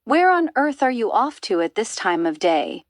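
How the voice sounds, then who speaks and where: Woman as a high, indignant hippopotamus. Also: sing-song, normal speed, no room sound, clean studio sound. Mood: curious, wary. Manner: exclamatory, high and emphatic. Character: fussy, indignant hippopotamus, friendly but easily appalled.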